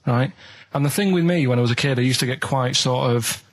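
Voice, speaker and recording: A young man, telling a long story on a radio show in halting, rambling sentences full of restarts. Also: mid-range voice. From England. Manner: rambling, halting, plain-spoken anecdotes with many restarts.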